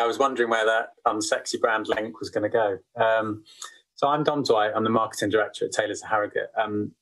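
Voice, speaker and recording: A man's voice: lowish voice, a bit bright, low-quality recording. A British man in his forties giving a conference presentation as a marketing director, with dry self-deprecating humour and frequent ums. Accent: British, not Yorkshire. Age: 40s. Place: remote conference session.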